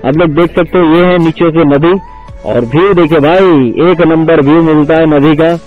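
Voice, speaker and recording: A young man talks casually as he rides a motorcycle along country roads, calling his viewers "guys" again and again. His voice sits high. The sound is thin and phone-like, with music playing clearly underneath. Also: moderate pace. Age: young adult. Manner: chatty, keeps saying "guys", pleads for support. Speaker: motovlogger. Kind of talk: casual ride commentary. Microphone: action camera on the bike.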